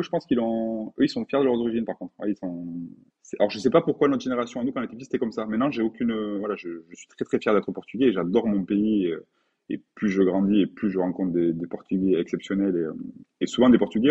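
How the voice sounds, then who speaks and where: Husky low-voiced man, hesitant. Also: lo-fi sound. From France. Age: 40s. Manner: thoughtful, hesitant, many fillers and restarts. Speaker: guest, painter. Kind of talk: casual interview talk.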